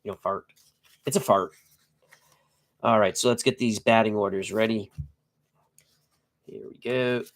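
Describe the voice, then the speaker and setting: A man's voice: lowish voice, fairly even intonation, measured pace, long pauses. A middle-aged man hosting a tabletop baseball live stream, nasal and congested from a cold, chatting and joking while reading out player stats in short clipped bursts. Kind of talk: casual live-stream chat and lineup reading.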